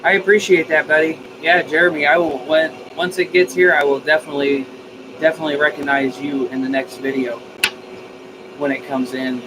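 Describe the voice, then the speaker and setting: Male streamer, somewhat higher voice, folksy and effusive casual chat, noisy recording. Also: steady tone, a little fast. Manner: folksy, informal, mildly profane; enthusiastic, effusive. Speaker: live stream host of a DIY radio channel.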